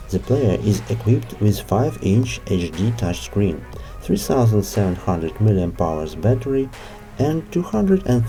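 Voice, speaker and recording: Very dark-voiced man, scripted review narration. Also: on the low side. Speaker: host of an audio gear review show. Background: music.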